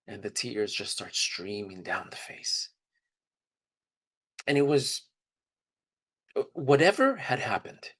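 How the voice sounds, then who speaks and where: A man's voice: mid-range voice, fairly bright tone, husky. A man telling personal stories in a casual, conversational way, candid and openly emotional. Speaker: trip leader for student service trips.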